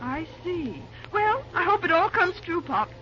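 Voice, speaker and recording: A woman's voice: extremely high pitch, very animated, a little slow, slight background noise, exaggerated voice. An actress playing a spirited, suspicious young American small-town woman in an old-time radio drama. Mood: anxious, hopeful. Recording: muffled old radio recording.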